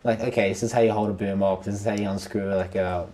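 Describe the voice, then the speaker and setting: A man's voice: on the low side, fairly even intonation, fast talker, warm tone. Rambling young man hosting a podcast. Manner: rambling, full of "like" and "you know". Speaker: podcast host, filmmaker. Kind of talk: casual podcast conversation. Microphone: podcast mic on boom arm.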